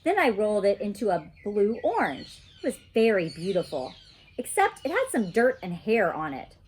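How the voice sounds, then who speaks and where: A woman reading a children's story aloud in an animated, childlike storytelling manner. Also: mid-range voice, moderate pace, slight background noise.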